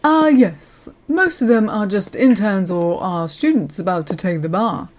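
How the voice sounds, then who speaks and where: A woman playing a courteous senior lawyer in an audio roleplay, speaking in a polite, measured, professional way to the listener. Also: mid-range voice, a little mellow, natural voice. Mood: polite, businesslike. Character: courteous senior female lawyer showing a newcomer around.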